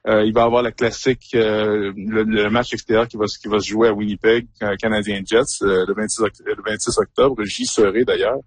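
Male sports guest, about 50, lower register, dry studio read, measured and searching. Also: level tone, no echo. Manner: thoughtful, searching for words, explanatory. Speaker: hockey commentator guest. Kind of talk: radio interview answers.

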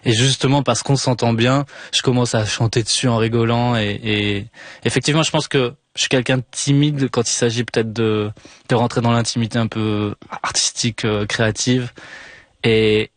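A man's voice: mid-range voice, steady tone, normal speed, no echo. A young man from France, a musician guest chatting casually and hesitantly with many fillers. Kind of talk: casual interview chat.

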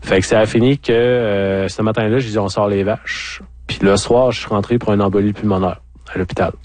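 Low-voiced Quebec man telling his story. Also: steady tone, a little fast, darkish tone, no echo. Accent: Quebec French. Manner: candid, colloquial. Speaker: interview guest, former farmer. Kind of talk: conversational personal storytelling.

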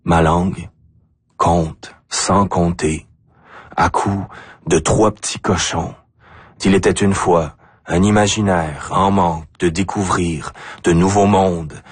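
A man with an Acadian French accent reciting spoken-word poetry deliberately, in short phrases with pauses. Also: sits low, breathy edge, no echo. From Acadia, New Brunswick, Canada. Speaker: poet.

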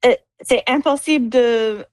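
A woman's voice: a little high, a little slow, a little mellow, dry recording. A woman with an English accent, a cellist speaking French as a guest, hesitating with many fillers and slipping into English words. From United States. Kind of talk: interview answers.

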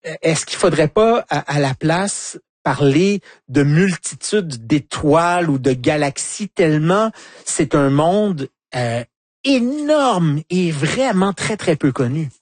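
Male talk host, Quebec French accent, slightly high voice, dry studio sound, conversational and emphatic. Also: lively intonation, slow pace, no room sound. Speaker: radio show host. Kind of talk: live conversational presenting.

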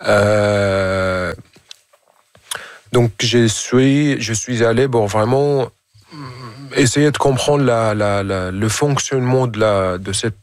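A man's voice: on the low side, takes it slowly, no room sound. A man in his late twenties from Syria, speaking with a Syrian Arabic accent as an interview guest and searching for words in a learned language. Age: late 20s. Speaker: photojournalist guest. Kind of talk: reflective interview answers.

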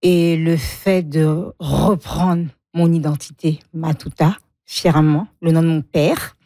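A woman's voice: sits low, steady tone, slow pace, warm tone, no room sound, studio quality. A woman in her forties from Congo, a guest speaking frankly about her life and often laughing. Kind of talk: emotional personal interview.

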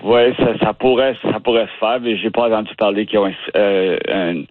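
A man from the Ottawa region with a Canadian French accent, a guest who talks haltingly and restarts words as he searches for them. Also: fairly low pitch, fairly even intonation, dry recording. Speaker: interview guest. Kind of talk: casual phone interview. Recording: tinny dry phone line.

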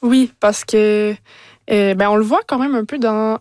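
Young quebec woman, talks slow, lots of euh, dry close mic. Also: medium pitch, a little mellow, dry recording. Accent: Quebec French. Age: young adult. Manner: unhurried, searching with fillers. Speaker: master's student guest. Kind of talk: interview answers.

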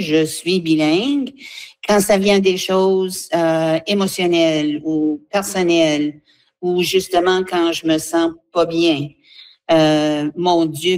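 A woman's voice: lowish voice, darkish tone. A woman, explaining earnestly as an association representative in a spontaneous interview.

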